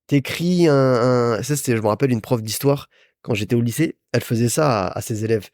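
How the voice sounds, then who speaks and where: A man's voice: medium pitch, fairly quick, a little mellow, no room sound, clean studio sound. Chatty young man giving business advice. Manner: chatty, addresses listener directly, repeats himself. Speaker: podcast host. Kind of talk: casual business advice talk.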